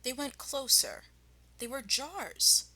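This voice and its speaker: A woman's voice: sits high, lively intonation, takes it slowly, sharp tone. A woman primary school teacher reading a children's story expressively and addressing her pupils. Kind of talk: expressive children's storybook read-aloud and lesson.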